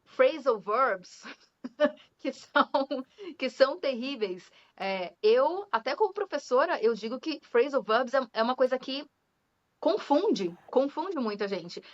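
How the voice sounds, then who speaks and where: Brazilian woman, young adult, English teacher, chatty guest, slightly high voice, dull recording. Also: bright, forceful tone. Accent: Brazilian Portuguese. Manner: chatty, laughs often, drops English words into her talk. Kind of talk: casual interview chat. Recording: dull lo-fi sound.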